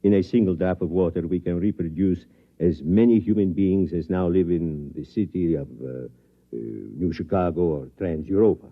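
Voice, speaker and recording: A deep-voiced scientist in an old radio drama, coolly confident about his grand plan. He speaks formally and deliberately, with measured courtesy. The sound is a dull, band-limited old broadcast. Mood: persuasive, assured. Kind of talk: mid-century radio drama acting.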